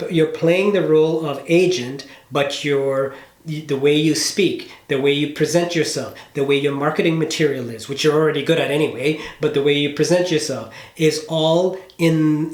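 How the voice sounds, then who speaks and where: A man with a slightly high voice speaks in a roomy, echoing space. He coaches in a casual, conversational way, explaining with emphasis and tossing in little check-ins as he goes. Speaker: business coach. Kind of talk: casual coaching phone call. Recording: roomy, echoey room sound.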